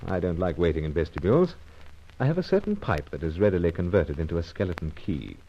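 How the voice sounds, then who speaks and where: An actor playing a suave British secret agent, clipped, terse and wry, in an old radio serial. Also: male voice, sits low, very animated, fast talker. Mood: narrating. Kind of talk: mid-century radio serial acting with narration. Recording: dull, noisy old radio recording.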